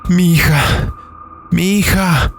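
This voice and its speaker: A man's voice: sits high, fairly even intonation, slow pace, fairly bright tone, slight background noise, voice pushed up, heavily acted. A man playing an anxious father calling out in dread in an audio horror story. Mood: horrified, urgent.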